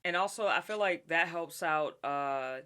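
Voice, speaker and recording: Give me a man interviewer with a bright, forceful voice, casual and agreeable. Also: a little high, unhurried, a little hiss or hum. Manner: animated, agreeable, lots of "mm-hmm".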